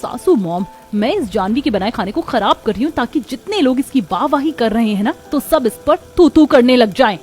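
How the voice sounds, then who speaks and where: A woman's voice: a little high, animated, normal speed, hardly any pauses, a little mellow, husky. A woman voicing a vain, spiteful young modern daughter-in-law in a dramatic Hindi moral story cartoon. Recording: music behind the voice.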